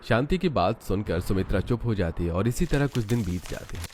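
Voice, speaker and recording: Guy narrating a cartoon story, lowish voice, bit of background noise. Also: animated, a little fast, darkish tone.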